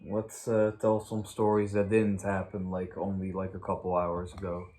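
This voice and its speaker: A young man with a fairly low voice tells casual stories. He talks haltingly and rambles, circling around his point as he goes. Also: completely flat delivery, dark tone, a little hiss or hum. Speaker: host of a story time channel. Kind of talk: casual chat, anecdote telling.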